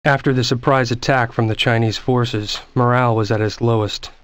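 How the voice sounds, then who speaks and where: A man's voice: mid-range voice, steady tone, moderate pace, slight background noise, lightly acted. An actor playing a weary soldier, narrating his platoon's war in retrospect in a scripted game cutscene. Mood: somber, reflective. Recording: dull lo-fi game audio.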